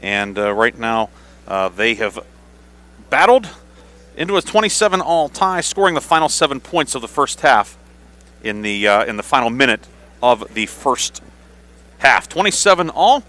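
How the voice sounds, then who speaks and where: A man hosting live halftime radio commentary at a high school game, speaking in halting, repeating phrases. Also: sing-song, pushed and bright. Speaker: radio sports play-by-play host.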